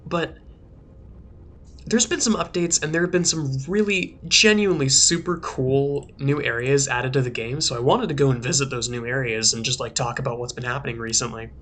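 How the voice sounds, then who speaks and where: A young man doing casual gameplay commentary in a rambling, filler-heavy way, his voice a little rough from a recent sore throat. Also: mid-range voice, steady tone, moderate pace, fairly bright tone. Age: young adult. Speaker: let's play host. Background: faint game music.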